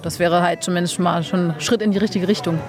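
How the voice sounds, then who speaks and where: Fast-talking frank young German woman. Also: on the low side, over music. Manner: frank, relaxed, many fillers like "halt". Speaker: bobsleigh pilot, interview guest. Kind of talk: casual interview answers.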